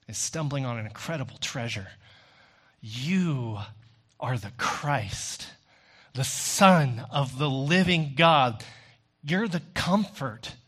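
A man preaching a sermon in an earnest, deliberate manner with rhetorical phrasing. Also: mid-range voice, a bit bright.

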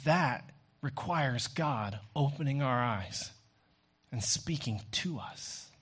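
A man preaching a sermon in an earnest, deliberate manner with rhetorical phrasing. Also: medium pitch, animated, fairly bright tone, breathy edge.

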